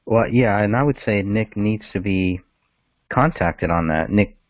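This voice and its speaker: A man's voice: lowish voice, unhurried, dry recording. A man co-hosting a casual discussion of a case over a call, heard through a narrow phone line.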